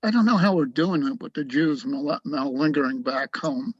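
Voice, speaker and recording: A man playing a tipsy, cynical army doctor in a radio play, blunt and weary, with a gravelly, husky voice. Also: fairly high pitch, normal speed, no echo, lo-fi sound. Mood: bitter, contemptuous. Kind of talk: dramatic radio play acting.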